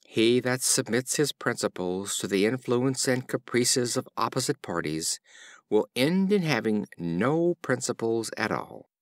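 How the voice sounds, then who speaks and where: A man narrating a storybook of fables in a measured, expressive reading. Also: mid-range voice, no echo, clean studio sound. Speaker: audiobook narrator.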